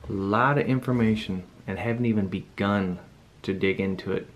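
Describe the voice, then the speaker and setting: Man, lowish pitch, casual reviewer, unscripted, rambling demo delivery. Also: normal speed. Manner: informal, rambling, thinking aloud. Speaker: product reviewer. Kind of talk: casual unscripted product demonstration. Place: indoor room at home.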